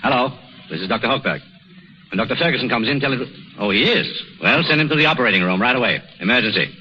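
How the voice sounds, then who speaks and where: An actor playing a commanding senior surgeon in a 1930s radio drama, with a clipped, authoritative manner. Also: male voice, mid-range voice, animated, quick pace, bright, forceful tone. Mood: brisk urgency. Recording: muffled 1930s radio recording, faint noise.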